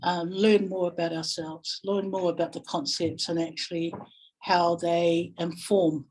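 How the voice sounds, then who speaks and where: A woman with a New Zealand accent speaking reflectively in a webinar, pausing thoughtfully and searching for her words. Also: on the low side, little pitch movement, darkish tone, breathy edge, rough recording. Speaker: guest webinar speaker, family violence practitioner.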